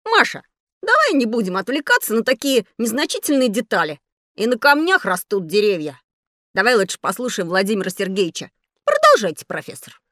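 A woman's voice: fairly high pitch, huge pitch swings, brisk, fairly bright tone, no echo, studio quality. A woman playing a cheeky, talkative little boy in a scripted educational audio play. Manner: eager, boastful.

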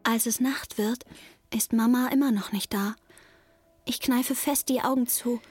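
A woman's voice: fairly high pitch, fairly even intonation, a little slow, a little mellow, a little hiss or hum, close to an everyday voice. A woman playing a young girl who narrates her lonely hotel stay in plain, short, childlike sentences, as in a radio drama. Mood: matter-of-fact, childlike. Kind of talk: first-person radio drama narration in present tense.

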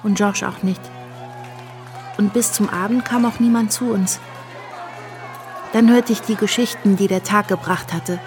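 An actress playing a reflective woman recalling revolutionary times in a radio play, in measured, sober first-person narration. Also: medium pitch, fairly even intonation, a little mellow, faint music behind, some chatter behind, lightly acted. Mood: sober, wry.

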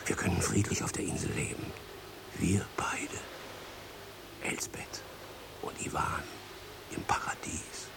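Tiefer, knarriger, ergebener alter Kutscher. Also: male voice, sits low, fairly even intonation, slow pace, creaky, pauses often, in a whisper, full character voice. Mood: hushed pleading. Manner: hushed, pleading, intimate. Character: devoted old coachman, longtime family servant in love. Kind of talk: radio drama acting.

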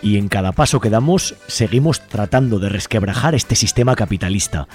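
A man's voice: fairly low pitch, brisk. A man with an accent from Spain hosting a community radio show, reading partly from a script. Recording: music behind the voice. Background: music.

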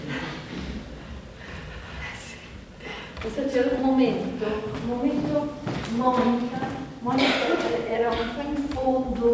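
An actress playing a restless, weary woman who confides in her audience in a halting, conversational theatrical monologue, repeating herself as she thinks aloud. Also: on the high side, lightly acted. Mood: wistful. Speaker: stage actor. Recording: echoey hall from far off, faint noise.

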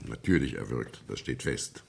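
An actor playing a brusque, authoritative French police prefect who questions witnesses curtly in a German radio play. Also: male voice, rumbling low, animated, moderate pace, creaky, full character voice. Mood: curt, businesslike. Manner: curt, commanding, interrogating.

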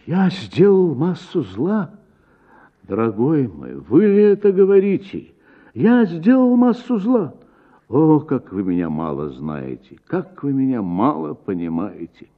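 An actor playing a self-pitying middle-aged idler and sponger in a radio story, plaintive and melodramatic, full of wounded protest. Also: male voice, mid-range voice, big pitch swings, a little slow, phone-quality sound, voice pushed up. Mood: wounded, indignant.

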